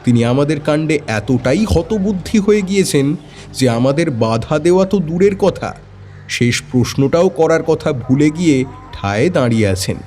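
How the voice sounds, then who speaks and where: A man narrates a dramatic story in a mellow, slightly high voice. His delivery is expressive, with wide swings in pitch, over a music bed. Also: moderate pace. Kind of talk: dramatic first-person audio story narration.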